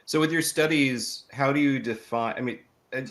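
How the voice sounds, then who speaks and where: A man's voice: medium pitch, moderate pace, fairly bright tone. A man hosting a podcast interview, asking questions conversationally and rephrasing them as he goes.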